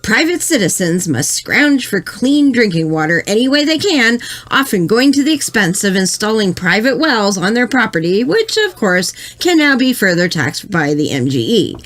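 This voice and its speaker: An earnest, skeptical female news anchor, played by an actress, delivers a satirical bulletin. Her pitch is lively and varied, and her measured phrasing carries pointed, dry sarcasm. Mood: sarcastic, critical.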